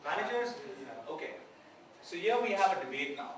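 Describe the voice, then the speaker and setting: Man lecturing, questioning the audience, slightly high voice, distant mic, very echoey hall. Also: animated, normal speed, noisy background. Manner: asks the audience questions, repeats key words. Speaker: guest speaker, professor. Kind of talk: lecture to students.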